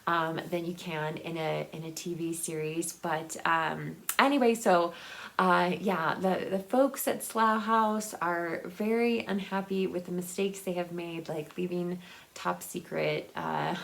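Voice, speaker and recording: A woman's voice: on the low side, gravelly. A woman chatting casually and enthusiastically about books as a vlog host, in a rambling, giggly, unscripted way. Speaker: book vlogger and host. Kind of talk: casual unscripted book chat.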